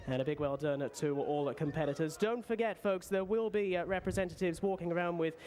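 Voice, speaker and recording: A man giving live race commentary as a racecourse announcer, pausing often between short phrases. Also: on the high side, a little mellow.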